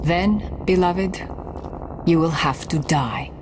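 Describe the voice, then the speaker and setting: A woman's voice: on the low side, slow, very noisy. A woman playing a commanding, zealous young heiress of assassins in a video game cutscene, intense, imperious and dramatic. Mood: cold resolve.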